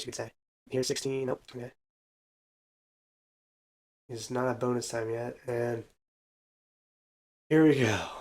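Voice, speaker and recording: A man's voice: mid-range voice, a little mellow. A man casually commentating a baseball video game, counting hits aloud and adding self-deprecating asides. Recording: faint background music.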